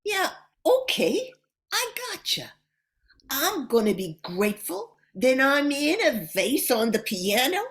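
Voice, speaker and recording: A woman's voice: high-pitched, very animated, slow pace, exaggerated voice. A woman voicing a grumbling but fond talking Christmas decoration in an amateur radio play. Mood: wry, resigned.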